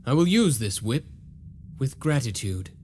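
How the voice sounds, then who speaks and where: An actor playing a noble young vampire hunter in a video game, speaking in an earnest, solemn and formal way. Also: male voice, mid-range voice, very animated, unhurried, darkish tone, a little hiss or hum. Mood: resolute. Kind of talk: video game cutscene voice acting.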